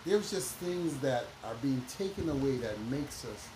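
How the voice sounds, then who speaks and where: A man's voice: mid-range voice, expressive, moderate pace. Man preaching in an echoey room. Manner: emphatic, preachy, full of "you know" fillers. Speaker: guest minister and educator. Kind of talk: conversational podcast interview. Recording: echoey room with background noise.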